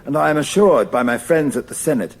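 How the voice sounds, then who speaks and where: An actor playing a scheming, pompous and unrepentant gentleman in a period radio drama. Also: fairly high pitch, lively intonation, fairly quick, slightly rough. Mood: smug, dismissive.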